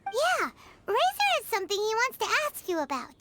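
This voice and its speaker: A woman voicing a tiny, bubbly fairy companion with a squeaky childlike voice in an anime-style video game. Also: moderate pace, very noisy, exaggerated voice. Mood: eager, helpful. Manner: excitable, teasing. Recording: music behind the voice.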